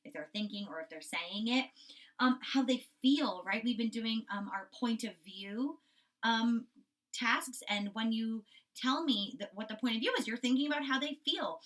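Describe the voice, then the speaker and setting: A woman's voice: a little high, moderate pace, fairly bright tone, far from the mic. A woman primary school teacher giving a children's lesson and reading a storybook, instructive, stressing key words and often checking in.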